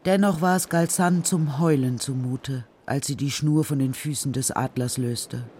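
A man narrating a scripted children's radio drama as storyteller, with a husky voice. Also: mid-range voice, unhurried, a little mellow, slight background noise.

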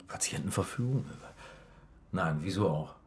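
A man's voice: low-pitched, expressive, normal speed, slightly rough, exaggerated voice. A man playing a distressed, impatient father in a radio play, speaking tersely and bluntly. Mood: puzzled, defensive.

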